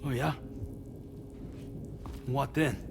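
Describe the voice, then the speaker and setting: An actor playing a wary, suspicious porter in a video game cutscene, speaking in blunt, terse questions. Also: male voice, mid-range voice, fairly even intonation, very slow, vocal fry. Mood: suspicious, defiant. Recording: rainstorm noise behind the voice.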